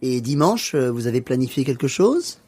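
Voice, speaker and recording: An easygoing French husband acted by a man in a language-course radio play. He has a mid-pitched voice and a sociable, welcoming manner over dinner. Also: very animated, moderate pace, dark tone, slightly rough, dry recording. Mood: friendly, relaxed. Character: friendly, easygoing French husband dining out with friends.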